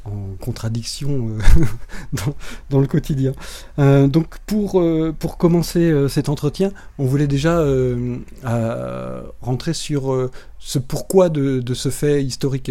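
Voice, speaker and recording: A man working as an interviewer, speaking unscripted and haltingly, often repeating words as he searches for the next one. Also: mid-range voice, warm tone. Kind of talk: spoken interview question, unscripted.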